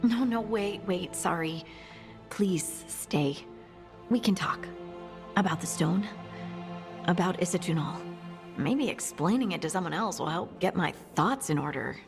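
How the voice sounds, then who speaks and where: With music underneath, a female dwarf scholar talks slowly. She is earnest and searching, halting often as if working through a mystery aloud in a fantasy game. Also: fairly low pitch, animated, creaky. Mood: troubled, flustered. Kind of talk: video game dialogue.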